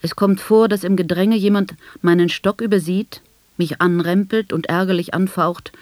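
Dry, measured blind woman, bitterly ironic actress's monologue. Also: lowish voice, steady tone, darkish tone, no room sound, natural voice. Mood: wry, ironic. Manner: articulate, measured, sardonic. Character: blind woman recounting her life with bitter, ironic humour. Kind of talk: literary radio drama monologue.

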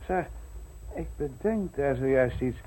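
An actor in a radio play voicing an earnest, polite and rather naive duke with an eager manner. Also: male voice, mid-range voice, expressive, unhurried, mellow, low-quality recording. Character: earnest, courteous, somewhat naive young duke.

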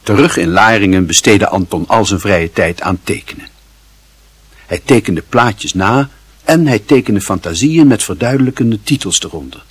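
A man narrating a literary radio play in a measured, formal and wry manner, with a husky voice. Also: on the low side, expressive, no echo.

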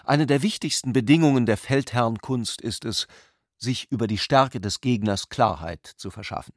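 A man's voice: medium pitch, moderate pace, dry recording, studio quality. A man reading scripted literary narration as the narrator of a radio play.

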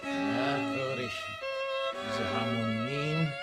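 A man's voice: medium pitch, drawn-out words, loud music behind the voice. A man acting a fussy, critical amateur musician in a radio play. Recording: strings playing loudly behind.

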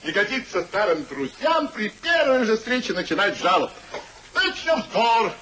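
An actor playing a gruff, hearty old uncle in a theatrical 1950s Russian radio play, blunt and exclamatory. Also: male voice, high-pitched, sing-song, moderate pace, pushed and bright, a little hiss or hum, full character voice, poor audio. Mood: teasing. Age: older. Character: Sir Oliver, gruff hearty old uncle back from abroad.

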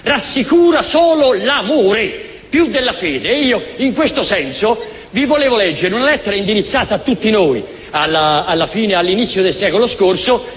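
Guy giving passionate speech in big echoey hall, voice way up high, emphatic, bit of noise. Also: expressive, moderate pace, a bit bright. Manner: emphatic, rhetorical, repeats words for weight. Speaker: speaker addressing an audience. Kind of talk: impassioned public address. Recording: reverberant hall, faint noise.

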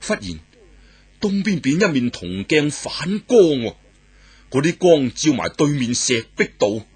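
Male storyteller, raspy voice, lively swooping delivery, unhurried. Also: fairly high pitch. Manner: animated, exclamatory, dramatic pauses. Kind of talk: traditional oral storytelling of a martial arts novel.

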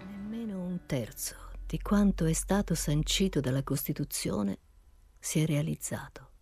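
A woman as an aged, indignant constitution-maker looking back, her testimony husky, breathy and creaky. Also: lowish voice, a little slow, a little mellow, soft background music. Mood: indignant, reflective.

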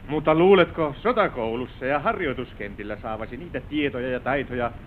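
An actor playing a grave, caring father in his fifties, master of a manor, measured and admonishing in old radio-drama style. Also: medium pitch, expressive, lightly acted. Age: 50s. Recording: noisy, tinny old radio drama recording.

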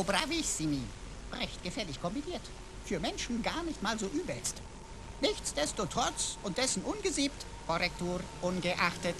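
A pompous machine servant for a sci-fi radio play, with a wildly lively, swooping delivery. It talks in florid, archaic courtesies, smug and servile at once. Clear noise runs behind the voice. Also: mid-range voice. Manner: pompous, flowery, convoluted mock-courtly phrasing.

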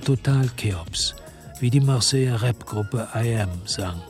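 An actor playing a weary, dogged French detective who narrates his noir radio crime story in a measured, laconic way. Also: medium pitch, darkish tone, faint music behind, natural voice. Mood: weary, reflective. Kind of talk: first-person narration in a noir radio drama.

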